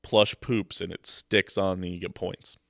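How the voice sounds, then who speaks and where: Young man, stuffy nose, podcast host, low voice, dry close sound. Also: level tone, a little slow, creaky, a bit bright, dry recording. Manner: rambling, joking, loose. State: stuffed up from a cold. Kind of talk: casual sports podcast chat. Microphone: podcast microphone.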